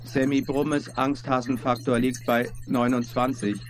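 Man acting an emotionless announcing machine, children's radio play, deadpan, very flat intonation. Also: medium pitch, unhurried, natural voice. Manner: monotone, deadpan, matter-of-fact. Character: deadpan fear-measuring machine announcing results.

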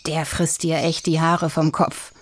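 A dismissive salesman in a children's story, played by a woman reader. His voice is pitched down, and he talks bluntly and colloquially. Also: flat delivery, a little slow, background noise. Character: blunt male pet shop salesman, dismissive. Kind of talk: children's audiobook character acting.

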